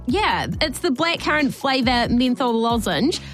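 A New woman co-hosting radio, her voice slightly hoarse as she chats. She speaks higher than most women and her pitch swings widely. Faint music sits behind her. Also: measured pace. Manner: lively, laughs often. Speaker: radio co-host. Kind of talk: casual radio chat. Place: radio studio.

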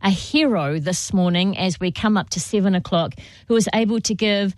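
A woman's voice: medium pitch, normal speed, dry recording, studio quality. Upbeat New woman radio host. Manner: upbeat, persuasive appeal. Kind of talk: fundraising drive presenting. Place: radio studio.

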